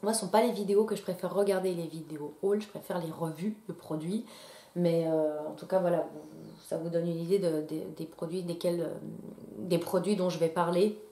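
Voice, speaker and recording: A woman's voice: on the low side, steady tone, brisk, mellow. A woman with a stuffy nose from a cold, chatting casually to her viewers with many fillers and restarts. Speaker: beauty YouTuber. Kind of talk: casual product haul talk to camera. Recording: echoey room.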